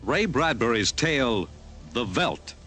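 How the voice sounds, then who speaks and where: A man's voice: mid-range voice, very animated, slow, pushed and bright. A man announcing a radio show in a grand, portentous 1950s style. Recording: vintage radio recording, music behind.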